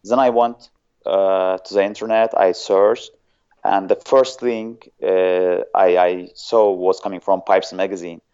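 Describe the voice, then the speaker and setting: A man's voice: deep voice, steady tone, a little slow, warm tone, smooth, muffled recording. A man from Azerbaijan with an Azerbaijani accent, a guest on an interview show who talks hesitantly and often searches for words. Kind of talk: casual interview chat.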